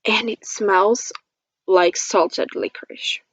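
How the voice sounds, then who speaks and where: A woman gives a casual, gushing beauty review at an unhurried pace. Her voice sits a little low, and the close, dry sound is slightly dull. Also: steady tone, a bit bright, no room sound. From Finland. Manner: gushing, giggly. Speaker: beauty vlogger. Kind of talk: casual product review chat to camera.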